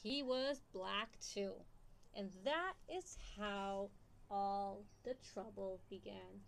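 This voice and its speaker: A young woman reading a picture book aloud to young children in an expressive, questioning storytime manner. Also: medium pitch, slow, vocal fry, a little hiss or hum. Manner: expressive, asks listeners questions. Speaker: storytime reader.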